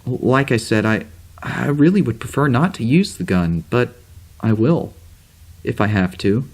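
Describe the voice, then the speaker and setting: Troubled space officer doing his log, slow and halting, rambles, keeps cutting himself off, audio drama style. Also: medium pitch, expressive. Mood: worried, reluctant. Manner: halting, rambling, self-interrupting. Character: troubled amnesiac male starship officer recording a log. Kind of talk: naturalistic audio drama monologue, spoken log.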